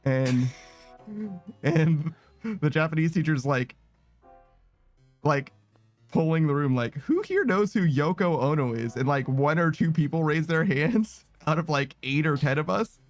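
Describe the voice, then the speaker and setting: A man's voice: fairly high pitch, lively intonation, a little slow, pauses often, no room sound. A young man streaming a game, rambling casually with many restarts and fillers. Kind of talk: casual chat while gaming. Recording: faint music behind.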